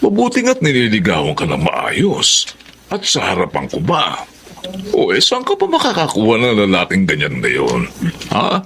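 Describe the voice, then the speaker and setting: A man playing a folksy, chiding middle-aged father in a Filipino radio drama, unhurried and expressive, with a Filipino accent. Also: fairly low pitch, slight background noise, full character voice.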